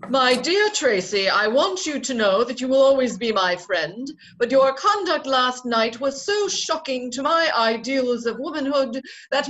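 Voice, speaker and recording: A woman's voice: sits high, a bit bright, low-quality recording. A woman acting a proud, agitated and self-mocking upper-class bride in a radio play, theatrical and emotional. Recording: noticeable background noise.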